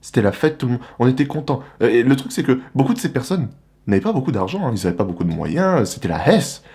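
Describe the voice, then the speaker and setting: A young man from the Republic of the Congo giving a casual, animated, slangy monologue to the camera as a vlogger. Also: medium pitch. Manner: animated, slangy, rhetorical questions.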